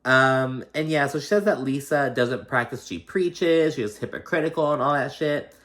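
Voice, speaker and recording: A man's voice: mid-range voice, moderate pace, fairly bright tone. A man with a slight lisp giving casual, gossipy, sweary recap commentary, acting out quoted dialogue as he goes. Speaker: host of a reality TV recap show.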